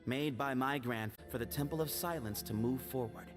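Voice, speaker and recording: An actor playing a composed, pragmatic young temple heir in a video game, speaking in a measured, formal, resolute way. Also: male voice, medium pitch, over music, close to an everyday voice. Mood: solemn, resolute.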